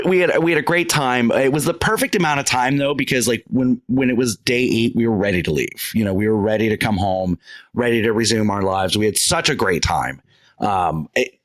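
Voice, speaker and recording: A man co-hosting a casual podcast, telling travel stories in an animated way with lots of restarts and laughter. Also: mid-range voice, moderate pace. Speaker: podcast co-host.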